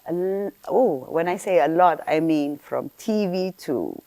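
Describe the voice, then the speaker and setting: A woman's voice: on the low side, lively intonation, measured pace, mellow, no echo. A woman hosting a talk show interview, asking questions in a friendly way with short encouraging replies. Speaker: host.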